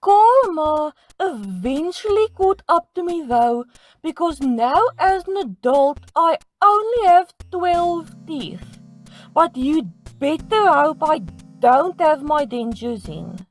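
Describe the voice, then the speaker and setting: A woman's voice: high-pitched, big pitch swings, takes it slowly, poor audio. A woman giving scripted comedic storytime narration in a dramatic, self-mocking manner. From South Africa. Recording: music playing behind the voice. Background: music.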